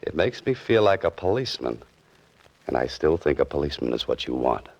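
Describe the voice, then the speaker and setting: A man's voice: extremely low pitch, sing-song, brisk, gravelly, darkish tone, full character voice. An American man playing a wry, easygoing writer-adventurer in a 1940s radio detective drama, with laconic, hesitant asides. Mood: cautious, dry. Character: wry, easygoing male writer-adventurer narrating his own case. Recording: dull old radio recording with music.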